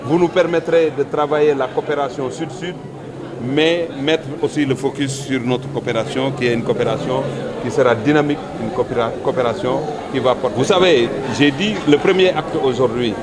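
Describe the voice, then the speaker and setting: A man's voice: medium pitch, moderate pace. A man with a Senegalese accent, a local official giving an emphatic public statement to the press, repeating key phrases. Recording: busy crowd behind, urban public space.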